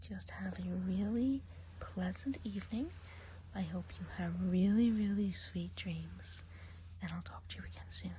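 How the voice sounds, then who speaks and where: A woman voicing a gossipy woodland witch who gives a soft, half-whispered ASMR eye exam. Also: mid-range voice, a little hiss or hum, lightly acted, rough recording. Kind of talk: ASMR role play.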